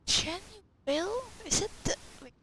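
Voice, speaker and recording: A woman's voice: extremely high pitch, animated, slow pace, pushed and bright, slight background noise. A woman giving casual live reactions as she plays a game, talking to herself and repeating words. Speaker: gamer playing a story quest.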